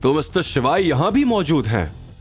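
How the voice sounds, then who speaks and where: A man's voice: a little high, very animated, normal speed, faint music behind, pitched above the performer's own voice. A man voicing an arrogant, hostile young rival who taunts and boasts with swagger in an audio drama. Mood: taunting.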